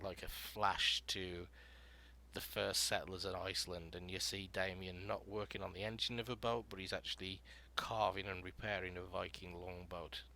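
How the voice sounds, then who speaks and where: A man with a deep voice and a bright, forward tone hosts a writing podcast panel. He explains story prompts casually and narrates scenes aloud, leaning often on "you know" and other fillers. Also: slight background noise.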